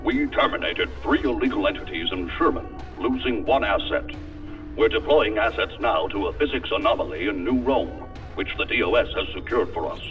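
Field operative, low and animated, formal military briefing to a superior over a muffled radio link, sci-fi drama. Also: male voice, fairly quick. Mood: dutiful, businesslike. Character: dutiful male operative officer reporting to his superior. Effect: radio transmission filter. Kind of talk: science fiction audio drama acting. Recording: dull radio link, distant, faint music.

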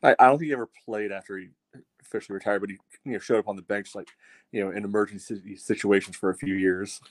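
A man with a slightly low voice speaks close and dry, with no room sound. He gives casual sports analysis as a co-host, in a measured way that qualifies each opinion. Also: fairly even intonation, no room sound. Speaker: co-host of a soccer fan show.

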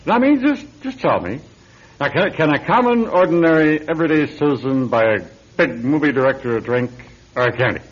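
A man's voice: a little high, expressive, brisk. An actor playing a drunken, resentful married man who talks in a slurred, sarcastic, goading way in a radio drama. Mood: belligerent. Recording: dull lo-fi radio recording.

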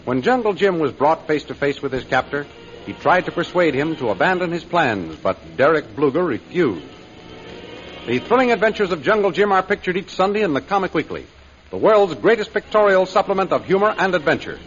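A male announcer narrates a 1930s adventure serial. His voice is fairly high and very animated, with declamatory drama. It comes through a dull old recording. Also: a little fast, soft background music. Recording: muffled, lo-fi old radio recording.

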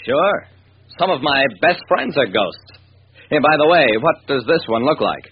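An actor playing a wry, hard-boiled private detective narrating an old-time radio drama, on a tinny, noisy recording. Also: male voice, fairly high pitch, sing-song, a little slow, slightly rough, exaggerated voice. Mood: breezy.

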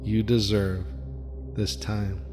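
A man leading a guided meditation in a soft, soothing voice with a slow, repetitive cadence. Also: lowish voice, little pitch movement. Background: faint music with gong.

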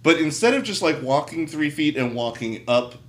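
A man's voice: on the high side, sing-song, normal speed. A man in a casual, joking group chat, telling things in a wry, irreverent way. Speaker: podcast co-host.

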